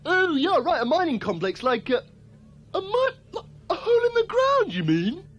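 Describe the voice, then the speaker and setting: A man's voice: very high-pitched, wildly swooping pitch, moderate pace, a bit bright, exaggerated voice. A man voicing a bumbling, dim, rambling contest compere in a comic puppet sci-fi show, full of hesitations. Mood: doubtful. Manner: hesitant, rambling, filler-laden.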